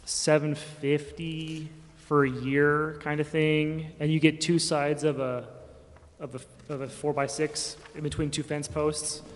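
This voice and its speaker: A man's voice: fairly high pitch, level tone, a little slow. Rambling man in a reverberant meeting hall. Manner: rambling, casual, trailing off mid-sentence. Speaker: city staff member. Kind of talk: informal explanation at a public meeting. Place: reverberant council chamber. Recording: hall reverb, faint background noise.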